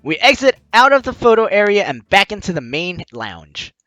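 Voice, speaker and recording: Male let's player, lively pitch, dry close sound, joking and excitable as he reads, music behind. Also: moderate pace, no room sound. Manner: excitable, stumbles while reading, joking. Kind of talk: reading a visual novel aloud with lively reactions.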